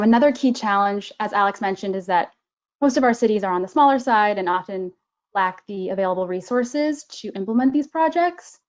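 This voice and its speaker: A woman's voice: mid-range voice, a little mellow. Woman explaining plainly, step by step. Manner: clear and organized, explaining step by step. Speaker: management analyst at a regional government council. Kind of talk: conversational interview answers.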